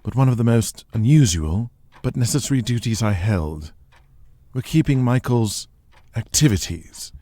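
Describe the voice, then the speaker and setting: A man voicing a weary, discreet fixer in an audio drama, protective, formal, measured and confiding. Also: mid-range voice, animated, warm tone, no room sound, close to an everyday voice. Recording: music behind the voice.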